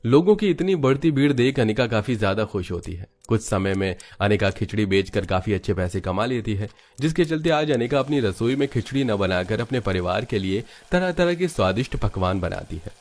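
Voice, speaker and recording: Low-voiced man narrating a story. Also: lively intonation, fairly quick, few pauses, darkish tone. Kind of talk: scripted cartoon story narration.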